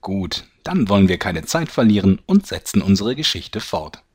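An actor playing a jovial storyteller who narrates a parody fantasy audio drama in a scripted style. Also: male voice, low voice, sing-song, normal speed, very noisy. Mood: jovial storytelling. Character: jovial male storyteller addressing his listeners.

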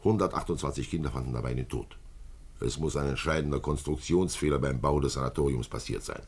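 An extremely deep-voiced police commissioner from a fifties radio crime play. He is blunt and unflappable, weighing out his words in a laconic, matter-of-fact manner. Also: quick pace, slightly rough, full character voice. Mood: deliberate, matter-of-fact. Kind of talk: 1950s German radio drama acting.

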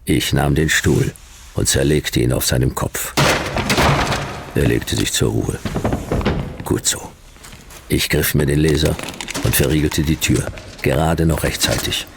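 A man playing a world-weary, sardonic private detective in a radio play, telling his story in terse, deadpan, clipped phrases. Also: extremely low pitch, unhurried, vocal fry, long pauses, heavy background noise, full character voice. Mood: deadpan, tense. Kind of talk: hard-boiled radio play narration and dialogue.

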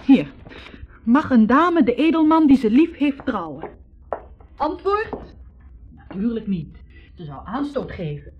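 A woman's voice: fairly high pitch, sing-song, unhurried, long pauses, soft background music. A bookish, ironic young woman. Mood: didactic, ironic. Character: bookish, unsentimental young woman literature student. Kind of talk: 1960s radio play, reciting and commenting. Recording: muffled old radio recording.

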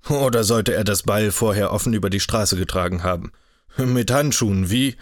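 A man voicing a mocking, chatty older cavalry captain in an audiobook, loud and jovial with a sneer. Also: fairly low pitch, normal speed, dry recording, studio quality, natural voice.

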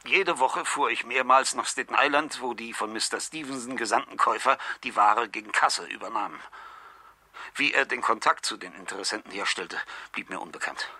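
A man's voice: medium pitch, sharp tone, slightly rough, no room sound, full character voice. An actor playing a weak, anxious old man who confesses to crime in formal, precise words in a radio crime drama. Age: older.

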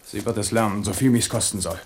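A man plays an aging, battle-hardened French king in a radio drama. His voice sits fairly low and he speaks with brooding, stubborn resolve. A faint noise lies over the older studio recording. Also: fairly even intonation, fast, natural voice. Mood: brooding, determined. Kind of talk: German radio drama acting.

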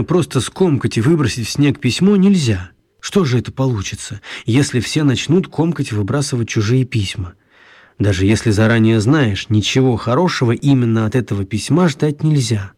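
A man narrating a radio play for children in a wry, storytelling manner, with a husky voice. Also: mid-range voice, mellow.

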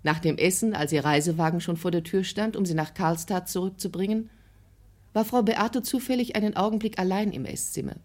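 A woman's voice: on the low side, brisk, darkish tone. A woman reading scripted literary narration as the narrator of an audio drama.

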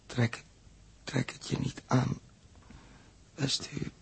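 Badly hurt, resigned gentle man: slow, very creaky, halting, old radio drama. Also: mid-range voice, level tone, full character voice. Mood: weak, consoling. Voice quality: weak, breathy, croaky. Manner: halting, repeats words. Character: gravely injured young man, weak, resigned, gentle. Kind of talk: 1960s Dutch radio drama acting. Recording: faint noise, old radio recording.

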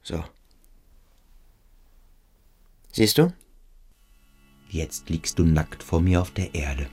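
A man's voice: low voice, slow, darkish tone, breathy edge, faint music behind, heavily acted. An actor playing a brooding, domineering boarding-school boy in a radio drama, intense, insistent and introspective. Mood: commanding, menacing. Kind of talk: German radio drama acting.

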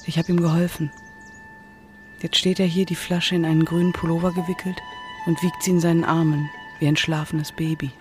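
High-voiced, reflective man played by an actor. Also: little pitch movement, brisk, over music, natural voice. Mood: calm reflection. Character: observant, helpful companion telling events simply. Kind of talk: German radio play acting.

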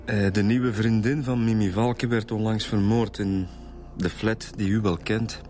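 An actor with a Flemish accent playing a weary, apologetic junior police detective with a hesitant way of talking in a radio drama. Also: male voice, fairly low pitch, steady tone, a little slow, warm tone, a little hiss or hum, natural voice. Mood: earnest. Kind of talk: radio drama acting in Dutch.

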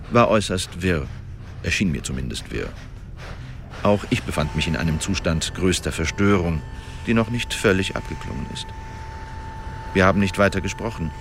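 A low-pitched man speaks as a formal witness. He sets out his account precisely and insists on his own innocence. Faint noise sits behind the voice. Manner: formal, precise, emphatically self-exculpating. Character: male witness to a killing, formal, self-justifying report giver. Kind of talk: scripted radio drama monologue, written statement read out.

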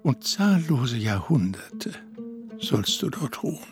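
A man's voice: mid-range voice, big pitch swings, takes it slowly. The narrator of a literary radio play, worldly-wise and bookish, taking his time as music plays behind him. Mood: wistful, tender.

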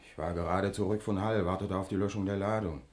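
A low-pitched working man acted by a male radio player, a tanker driver and husband. He speaks in a subdued, contrite way, in the style of 1960s German radio drama. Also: steady tone, fast talker, a little mellow, natural voice. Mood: sheepish, subdued.

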